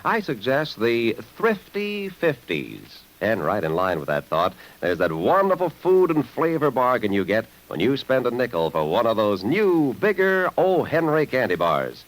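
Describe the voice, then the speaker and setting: A man delivering an enthusiastic, emphatic sales pitch as a radio announcer in the 1950s style. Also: big pitch swings, a little slow, few pauses, poor audio. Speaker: commercial announcer.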